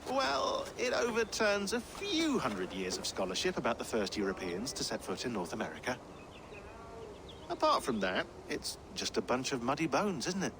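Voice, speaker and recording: An actor playing a dry, sardonic research teammate in a video game, wry and a little pedantic. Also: male voice, medium pitch, wildly swooping pitch, normal speed. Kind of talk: naturalistic video game acting. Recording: clear noise, ambience behind the voice.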